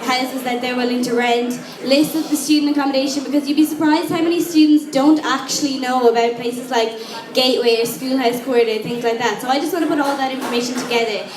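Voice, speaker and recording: Young Irish woman, student candidate, frank casual delivery; high voice, roomy echo with crowd around. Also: steady tone. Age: young adult. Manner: casual, frank, quick with asides. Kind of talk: campaign speech. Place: lecture room with an audience. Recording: echoey room with crowd noise.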